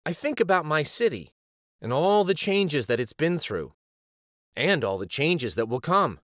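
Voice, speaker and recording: Male children's read-aloud host, bright voice, unhurried and dramatic with wide expressive swings. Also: fairly high pitch, no echo, studio quality. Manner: animated, dramatic. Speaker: children's storybook reader and show host.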